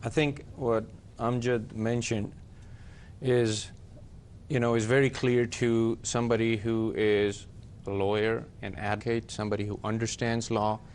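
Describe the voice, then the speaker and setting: Male panelist from Pakistan, reflective and deliberate, speaking over a faint low rumble. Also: flat delivery, darkish tone. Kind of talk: personal reflection in a discussion.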